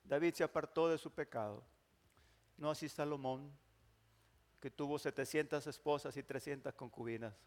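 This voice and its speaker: A male pastor, preaching emphatically and moving from loud declarations to hushed, drawn-out phrases. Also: medium pitch. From Mexico. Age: 60s. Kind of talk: sermon. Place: large church hall. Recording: long church hall reverb.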